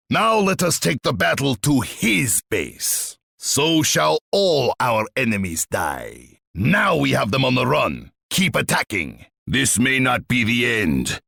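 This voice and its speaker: Casting: bloodthirsty warlord tsar for a strategy game; husky, pompous and sneering, with wildly swinging pitch. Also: male voice, fairly bright tone, dry recording, clean studio sound, heavily acted. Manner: pompous, menacing, declamatory.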